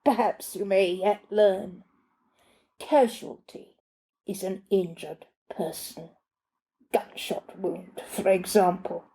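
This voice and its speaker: Woman voicing a pompous butler, unhurried and mellow. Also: mid-range voice, steady tone, low-quality recording. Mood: condescending. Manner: pompous, clipped, lecturing. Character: pompous, snappish English male butler, florid and condescending.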